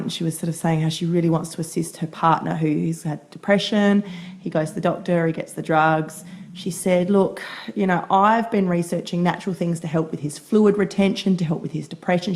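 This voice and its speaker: A woman co-hosting a casual chat podcast, hoarse from losing her voice, chatty and laughing often. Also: on the low side, fairly even intonation, a little fast, a little mellow. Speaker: podcast co-host.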